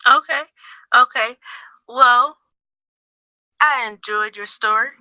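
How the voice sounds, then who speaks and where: A woman's voice: a little high, takes it slowly, pushed and bright, no room sound, low-quality recording. A woman in her twenties hosting a casual, conversational chat. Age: 20s. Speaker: host.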